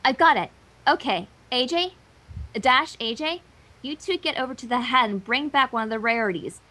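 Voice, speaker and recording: A woman puts on a brainy little cartoon unicorn mare. She is bossy and wordy, in a high, bright voice that moves around a lot. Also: lively intonation, normal speed, poor audio. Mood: planning. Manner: wordy, explanatory.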